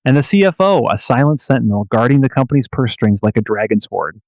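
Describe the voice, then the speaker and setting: A man narrating a scripted radio play in a measured, portentous storytelling manner. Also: mid-range voice, animated, a little mellow, no echo, low-quality recording.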